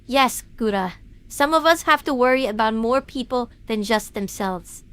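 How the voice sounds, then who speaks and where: A woman's voice: fairly high pitch, animated, normal speed, a bit bright, smooth. A woman playing a defensive young detective streamer in an audio drama, with a stern, pointed way of talking. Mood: bitter, stern.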